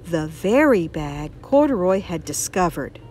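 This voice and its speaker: A middle-aged woman reading a children's picture book aloud in an expressive, dramatic way, stretching key words. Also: fairly low pitch, measured pace, a little hiss or hum. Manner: animated, dramatic stretched words and character voices. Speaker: storybook reader.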